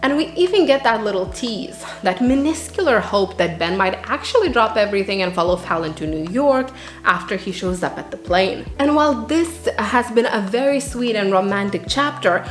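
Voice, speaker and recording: A woman's voice: medium pitch, lively intonation, moderate pace. A woman narrating a scripted book review in an earnest way, with dramatic emphasis. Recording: roomy echo, music underneath. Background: background music.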